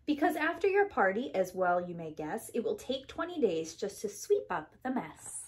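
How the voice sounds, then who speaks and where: A woman's voice: mid-range voice, very animated, moderate pace. A woman preschool teacher reading a rhyming picture book aloud to young children in an emphatic, sing-song rhythm.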